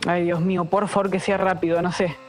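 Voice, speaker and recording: A woman's voice: on the low side, little pitch movement, a little fast, darkish tone, faint music behind, close to an everyday voice. A woman playing a jittery, fatalistic radio sidekick with a Buenos Aires accent, blunt and slangy in a radio drama. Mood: panicky, fatalistic. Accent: Buenos Aires Argentine.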